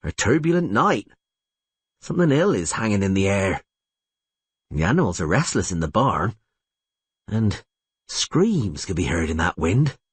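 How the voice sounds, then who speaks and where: Man doing an uneasy halfling farmer, husky and lively. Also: on the low side, a little slow, pauses often, dry recording, exaggerated voice. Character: anxious male halfling farmer.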